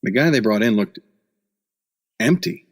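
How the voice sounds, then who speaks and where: An actor playing a hard-boiled, skeptical newspaper reporter in an old-time radio play, with a wry, blunt manner. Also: male voice, lowish voice, animated, measured pace, husky, exaggerated voice. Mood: grim narrating.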